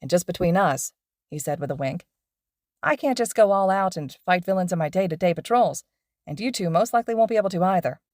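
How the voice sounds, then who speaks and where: A woman voicing a loud, cheerful male hero teacher with an exuberant, projecting manner. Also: lowish voice, expressive, fairly quick, no room sound, studio quality, lightly acted. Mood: upbeat.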